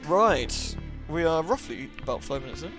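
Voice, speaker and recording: A British man chats casually to his livestream audience in a fairly high voice that swings widely in pitch. He is friendly and informal, addressing viewers one by one. Music plays clearly behind him. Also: animated, normal speed. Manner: chatty, friendly, informal with mild swearing. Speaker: live streamer, host. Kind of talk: casual livestream chat, greeting and answering viewers. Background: moody music.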